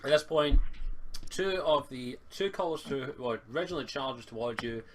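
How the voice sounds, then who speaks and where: A man with a Scottish accent casually narrating as game master, speaking informally and haltingly over a voice chat mic. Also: medium pitch, expressive, low-quality recording. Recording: home mic with faint noise and clicks.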